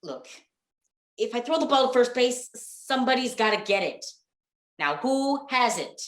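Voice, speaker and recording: Woman as an exasperated radio comedy sidekick, high-pitched. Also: animated, measured pace, a bit bright, reverberant. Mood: frustrated. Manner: stammering, insistent questioning. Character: exasperated, bewildered baseball-loving comic sidekick. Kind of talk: 1940s radio comedy routine.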